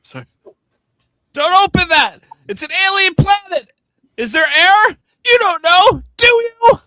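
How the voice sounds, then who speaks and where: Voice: very high-pitched, animated, a little slow, pushed and bright, no room sound, full character voice. A performer playing a panicky, whiny, fatalistic bit-part actor in a comedic live script read. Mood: panicked. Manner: anxious, whiny, escalating rambling.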